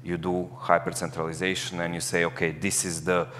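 A man's voice: deep voice, level tone, a little fast, fairly bright tone, in a large hall. A man speaking earnestly on a conference panel, with slightly non-native phrasing. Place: conference stage before an audience.

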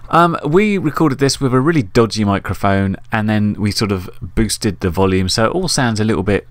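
Low-voiced middle-aged man, casual tutorial. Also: moderate pace, dry recording. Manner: informal, explanatory, conversational. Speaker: host of an RC radio tutorial.

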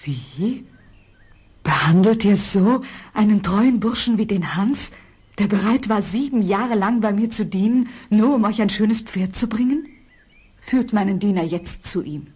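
A woman's voice: medium pitch, expressive, unhurried, darkish tone, husky. A woman playing a noble young princess in a fairy-tale audio play, speaking in a formal, dignified manner. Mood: reproving.

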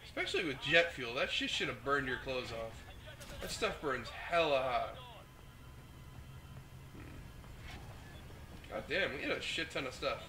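A young man with the flu commentates on a game he is playing, chatting casually, swearing and reacting out loud to what happens on screen. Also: mid-range voice, big pitch swings, unhurried, long pauses. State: sick with the flu, hoarse. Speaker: gaming host. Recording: noisy home mic recording.

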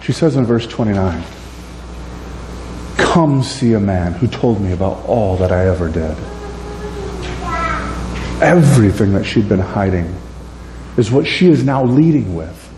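Animated pastor preaching in echoey church. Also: male voice, fairly low pitch, measured pace, pauses often. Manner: animated, gesturing widely, swings between loud and soft. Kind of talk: sermon. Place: church sanctuary, indoors. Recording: echoey church room, faint hum.

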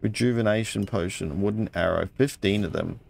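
A man's voice: lowish voice, brisk, gravelly, a little mellow, faint music behind. A man giving casual let's play commentary, muttering his thoughts aloud and reading out item text.

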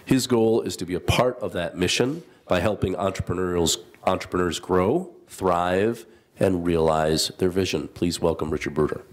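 A man with a fairly low voice moderates a panel discussion. He reads each speaker's introduction in a measured, formal way, now and then slipping in a dry aside. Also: slight background noise.

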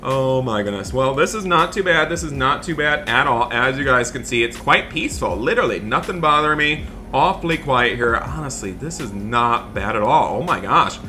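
A man hosting a roleplay gaming video, narrating excitedly and speaking straight to viewers in an animated, exclamatory way. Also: mid-range voice, normal speed, few pauses, a little hiss or hum. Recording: music behind the voice. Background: background music.

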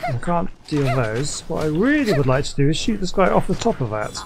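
A man's voice: on the high side, very animated, fairly quick, noisy background. Man muttering through game commentary. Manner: hesitant, muttering to himself. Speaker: gaming YouTuber. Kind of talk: casual gameplay commentary. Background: game music.

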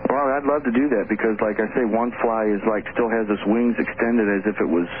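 An American man calling a radio show, talking casually in a halting, informal way. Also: fairly low pitch. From Missouri, United States. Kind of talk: casual live call-in talk. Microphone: telephone. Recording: noisy narrow phone line.

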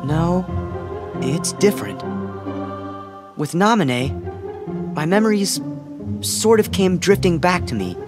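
An actor playing an earnest, hot-headed, loyal teenage boy hero in a video game cutscene, speaking emphatically and defiantly. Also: high voice.

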